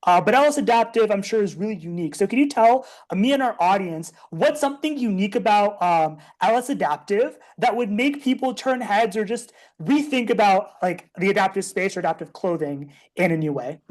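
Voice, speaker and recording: A woman's voice: medium pitch, lively intonation, a little fast, hardly any pauses, a little mellow. Thoughtful woman podcast host. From United States, Indian American. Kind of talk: interview questions and reflections.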